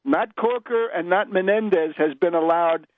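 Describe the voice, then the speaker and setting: A man delivering an unscripted political radio monologue in a scornful, emphatic, opinionated manner, with halting, deliberate phrasing. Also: sits high, no room sound. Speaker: political radio commentator and host. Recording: dull, lo-fi radio audio.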